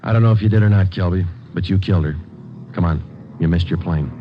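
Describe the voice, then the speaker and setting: Man playing stoic police sergeant, very low voice, American, clipped deadpan narration, muffled 1940s radio drama. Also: fast, natural voice. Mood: dry, stern. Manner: deadpan, terse. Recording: dull old radio recording with music.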